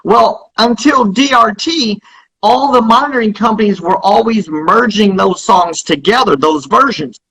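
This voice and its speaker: A man speaking as an interviewed company founder, explaining things in an emphatic, salesman-like way with a husky voice. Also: sits high, bright, forceful tone, low-quality recording.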